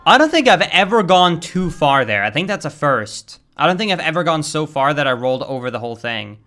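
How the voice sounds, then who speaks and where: Bright-voiced Swedish man streaming. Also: a little high, big pitch swings, fairly quick. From Sweden. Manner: casual, chatty, swears and flares up over game lag. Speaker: game streamer. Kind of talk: live-stream gameplay commentary.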